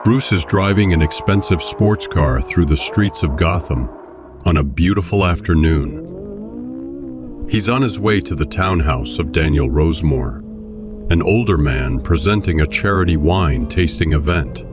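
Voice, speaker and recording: A man's voice: sits low, animated, a little slow. A man reading scripted narration as the narrator of an audio drama. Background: music.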